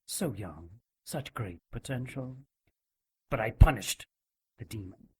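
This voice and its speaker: An actor playing a cold, mocking villain sorcerer in an audio drama, theatrical and smug. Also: male voice, mid-range voice, lively intonation, takes it slowly, a little mellow, slightly rough, full character voice. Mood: smug mockery. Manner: smug, mock-regretful, theatrical.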